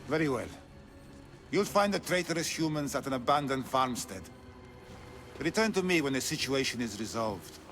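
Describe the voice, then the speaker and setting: An actor playing a cold, formal, embittered noble of an ancient race who despises humans, in a video game drama. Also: male voice, medium pitch, animated, normal speed, pauses often, a bit bright, faint music behind, close to an everyday voice.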